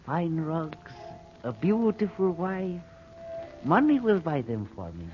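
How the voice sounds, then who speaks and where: An actor playing a sly, greedy foreign go-between in a 1940s radio serial, wheedling in broken English. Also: male voice, on the high side, sing-song, slow, soft background music. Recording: muffled, faintly noisy old radio recording.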